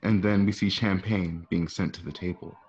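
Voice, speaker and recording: A man's voice: low-pitched, steady tone, normal speed, darkish tone, slightly rough, dull audio. A man narrating scene directions informally, with casual conversational asides. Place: at home.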